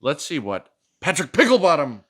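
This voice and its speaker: A man's voice: a little high, huge pitch swings, moderate pace. A man hosting a children's storytime, reading a picture book expressively with playful, chatty asides to a child. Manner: playful, asking questions and echoing the child's answers.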